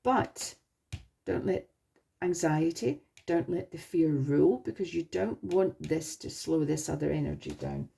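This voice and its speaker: A woman with a slightly hoarse voice from a sore throat, giving a reassuring tarot reading straight to the viewer and often restarting her phrases. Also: deep voice, measured pace, mellow. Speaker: tarot reader.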